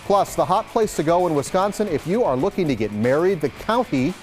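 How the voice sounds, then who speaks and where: A man's voice: on the high side, expressive, a little fast, darkish tone, faint music behind. A man with an American accent reading scripted local news as a TV anchor in a studio.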